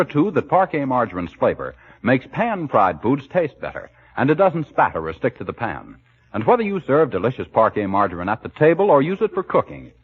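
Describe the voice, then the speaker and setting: American guy, 40s radio announcer, very lively pitch, bit husky, tinny old broadcast. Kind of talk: 1940s radio advertising announcing, scripted. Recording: tinny, band-limited 1940s radio recording.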